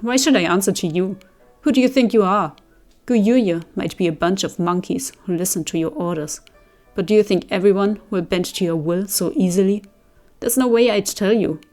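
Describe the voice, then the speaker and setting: A woman voicing a brash, hot-tempered young male warrior who snaps and shouts indignantly. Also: fairly low pitch, steady tone, normal speed, soft background music, lightly acted.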